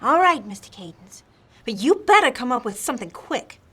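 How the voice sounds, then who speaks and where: A woman's voice: a little high, big pitch swings, normal speed, fairly bright tone, slightly rough, heavily acted. A woman acting a feisty, suspicious, betrayed wife in a 1940s-style noir radio play, demanding and indignant, with slangy talk. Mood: grudging, suspicious.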